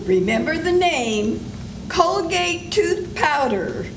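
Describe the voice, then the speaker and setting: Brief: older actress plays a coaxing 1940s ad announcer, unhurried, bouncy pitch, noisy background. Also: female voice, lively intonation, heavily acted. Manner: coaxing, sing-song sales patter. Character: chirpy female 1940s radio ad announcer. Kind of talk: 1940s radio commercial reading. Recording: echoey room with background noise.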